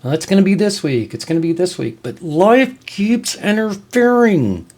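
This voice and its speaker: A man hosting his own channel, talking casually to viewers in an informal, explanatory and somewhat halting way. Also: sits high, very animated, a little mellow. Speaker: host of a channel on music reviews and tabletop games.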